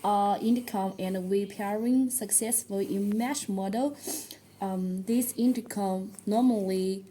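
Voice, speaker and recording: A woman who speaks English as a second language, presenting a product tutorial in a halting, step-by-step way. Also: mid-range voice, warm tone, slight background noise, rough recording. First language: not English. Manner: halting, step-by-step delivery with non-native phrasing.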